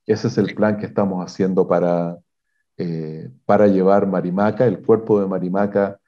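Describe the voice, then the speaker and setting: A man, a mining exploration executive, giving measured technical answers in an interview, pausing often with fillers and restarts. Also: low voice, fairly even intonation, highs cut off. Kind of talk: interview answers on a video call.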